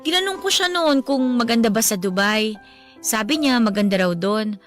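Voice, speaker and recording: A woman's voice: fairly high pitch, lively intonation, moderate pace, fairly bright tone, faint music behind. A woman playing a devoted young adult daughter with a Filipino accent in a radio drama, respectful and earnest. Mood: wistful. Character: devoted, respectful young daughter missing her late father.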